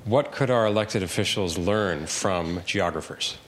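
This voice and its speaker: Low-voiced man asking haltingly. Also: slight background noise. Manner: halting, restarts mid-phrase. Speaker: audience member asking a question. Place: lecture room audience.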